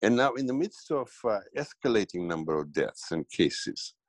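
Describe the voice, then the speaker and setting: A middle-aged man from Tanzania giving a political interview, speaking haltingly with many fillers and restarts. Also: lowish voice, very animated, dry recording. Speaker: guest commentator.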